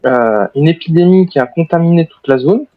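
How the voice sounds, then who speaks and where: A man's voice: fairly high pitch, lively intonation, fairly quick, narrow, tinny audio, natural voice. A man playing an armed, wary but cooperative soldier survivor in an improvised zombie game roleplay, speaking formally and hesitantly. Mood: explaining, hesitant.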